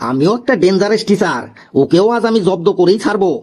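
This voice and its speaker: Guy doing flustered excitable cartoon teacher, high voice, repeats himself, lots of exclaiming. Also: fast, slightly rough. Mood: scheming. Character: excitable, exasperated male schoolteacher, easily fooled. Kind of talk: comic cartoon dialogue.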